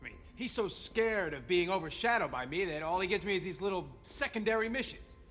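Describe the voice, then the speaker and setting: Bitter ambitious colonel in a war game, sarcastic griping, forceful edgy voice. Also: male voice, high-pitched, animated, brisk, slight background noise. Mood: resentful. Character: bitter, ambitious American army colonel, resentful of his superior. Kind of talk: video game cutscene acting.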